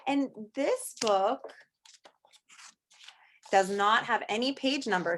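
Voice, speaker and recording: Female primary teacher, slightly high voice, expressive read-aloud for young kids, simple explanations and questions. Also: a little hiss or hum. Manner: explains words simply, asks children questions. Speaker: elementary teacher reading aloud to children. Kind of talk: storybook read-aloud lesson for young children. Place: online video session with screen sharing.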